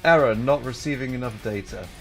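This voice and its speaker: A man's voice: medium pitch, fairly quick. Casual male streamer over background music. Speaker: livestream host, gamer. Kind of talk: casual livestream chat.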